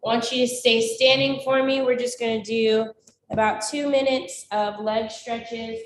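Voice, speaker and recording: Woman fitness instructor, stuffy from a cold, unhurried cues, far off the mic with faint hiss. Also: a little high, fairly even intonation. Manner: slow, encouraging counting cues. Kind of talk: guided exercise class with counting. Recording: very echoey, distant, faint noise.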